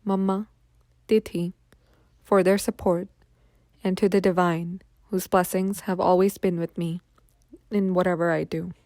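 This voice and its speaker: A woman's voice: fairly low pitch, level tone, a little slow, long pauses, a little mellow, no room sound, studio quality. A woman reading a scripted children's story as its narrator.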